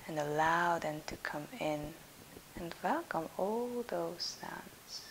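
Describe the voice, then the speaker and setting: A woman leading a guided relaxation meditation as a yoga instructor, soothing and soft, breathy at phrase ends, with long pauses between phrases. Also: lowish voice, low-quality recording. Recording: steady background hiss.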